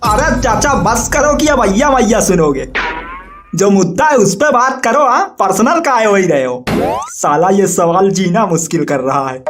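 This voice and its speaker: A performer voicing a sensible young man of thirty in a comic cartoon, reasoning and gently reproving with mild exasperation. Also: mid-range voice, animated, moderate pace, faint music behind, close to an everyday voice. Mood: exasperated.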